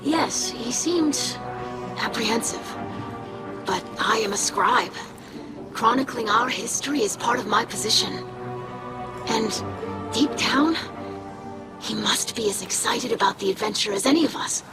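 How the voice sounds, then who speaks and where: An actress voicing a polite, eager young alien scribe in a video game, speaking formally and precisely. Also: female voice, on the high side, unhurried, pauses often, over loud music, close to an everyday voice. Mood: earnest, hopeful. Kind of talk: video game cutscene acting.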